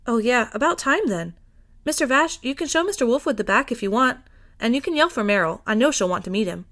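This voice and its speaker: A woman's voice: a little high, animated, a little fast, fairly bright tone, voice pushed up. A woman voicing a friendly, eager young female bookshop owner in an audiobook, gushing with enthusiasm. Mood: eager, effusive. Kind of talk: acted fiction reading.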